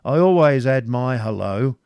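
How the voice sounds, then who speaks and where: A man voicing a bold, composed medieval archer in a storybook reading, steady and plain-spoken. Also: mid-range voice, measured pace, smooth, dry recording, voice pushed up. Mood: bold composure.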